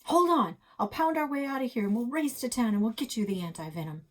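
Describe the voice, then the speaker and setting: A woman's voice: on the high side, animated, quick pace, voice pushed up. A woman voicing a bold, urgent young female adventurer in a storybook, with an emphatic, commanding manner.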